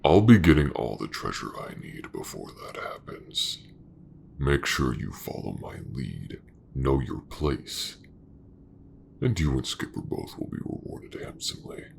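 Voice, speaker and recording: A man playing a cold, haughty, greedy smuggler captain in a sci-fi audio roleplay, measured and condescending. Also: rumbling low, sing-song, vocal fry, a little hiss or hum, full character voice. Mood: cold, imperious.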